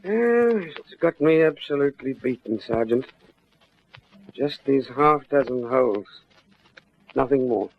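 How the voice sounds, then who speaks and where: An actor playing a puzzled, worried shopkeeper in a classic radio detective drama. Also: male voice, medium pitch, expressive, a little slow, long pauses, slight background noise. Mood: baffled. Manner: anxious, bewildered. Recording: muffled vintage radio drama recording.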